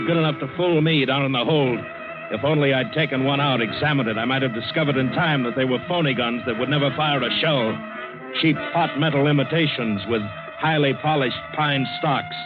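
An actor playing a grim, hard-boiled adventurer who narrates in a terse, wry, dramatic way, as in a vintage radio drama. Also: male voice, mid-range voice, lightly acted. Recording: muffled old radio recording. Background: dramatic music.

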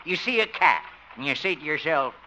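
An actor playing a proud, folksy old country horse doctor who rambles sentimentally, in 1950s radio comedy style. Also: male voice, a little high, animated, brisk, bright, forceful tone. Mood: sentimental, rapturous. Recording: noisy, dull old radio recording.

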